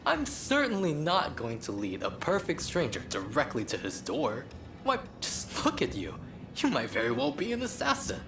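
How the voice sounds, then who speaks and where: Animated, earnest samurai scholar. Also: male voice, fairly high pitch, normal speed. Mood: suspicious. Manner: formal, wordy, articulate. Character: earnest, cautious Japanese samurai scholar, excitable man. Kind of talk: video game cutscene acting. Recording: background music under the voice.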